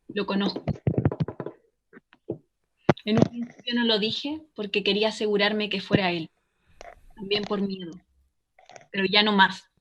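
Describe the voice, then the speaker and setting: A woman playing a vengeful bomber caller. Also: mid-range voice. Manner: accusing, cold, shouting insults. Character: vengeful young woman caller, former student, militant bomber. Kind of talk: radio drama acting.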